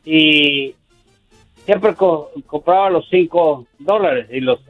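An older man calling in to a radio show, talking in a hesitant, halting way with many fillers. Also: on the high side, soft background music. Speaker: caller. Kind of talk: phone-in radio call. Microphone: telephone. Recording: tinny dry phone line.

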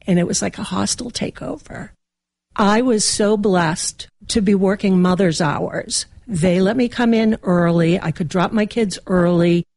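A woman chats freely as an interview guest, with a somewhat low voice. Her talk runs on in a lively, storytelling way, recorded dry and close. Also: creaky, dry recording. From Massachusetts.

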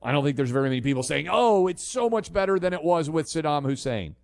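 A man's voice: fairly high pitch, lively intonation, fast talker, vocal fry, darkish tone, dry recording, clean studio sound. A man hosting a talk radio show, speaking in a conversational, opinionated way. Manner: conversational, opinionated, fillers and restarts. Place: radio studio. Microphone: studio mic.

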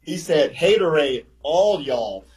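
A man who played the sport, a guest on a radio show, telling stories in a lively, repetitive way. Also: a little high, slow, poor audio. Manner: animated storyteller, repeats himself. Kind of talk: casual sports radio chat.

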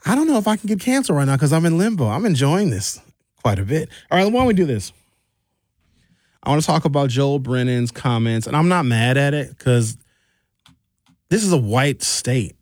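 A middle-aged American man hosting a radio talk show in an informal, opinionated storytelling style, colloquial and animated, on a close studio mic. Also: medium pitch, moderate pace, no echo. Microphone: close studio microphone.

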